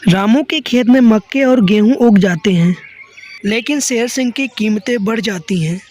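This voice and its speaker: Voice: mid-range voice, normal speed, a little mellow. A narrator reading a scripted children's story.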